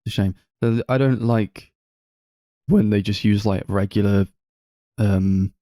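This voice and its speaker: A man's voice: on the low side, steady tone, measured pace, mellow, no echo, clean studio sound. A man co-hosting a film podcast, giving casual opinions on movies in conversation. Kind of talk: casual film review chat.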